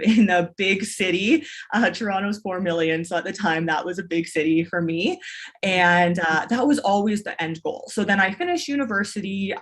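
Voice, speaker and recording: Chatty woman telling her story. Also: mid-range voice. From Canada. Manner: enthusiastic, long-winded with restarts. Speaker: podcast guest, business mentor. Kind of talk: casual interview storytelling.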